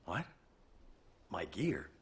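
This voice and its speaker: An actor playing a skeptical, practical military engineer in a video game, speaking in a matter-of-fact, argumentative way. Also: male voice, low-pitched, very animated, drawn-out words, creaky, slightly rough, full character voice. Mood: incredulous.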